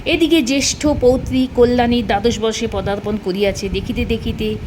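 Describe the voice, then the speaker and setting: A woman reading a literary short story aloud in an expressive storytelling style. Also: fairly high pitch, a little fast, noisy background.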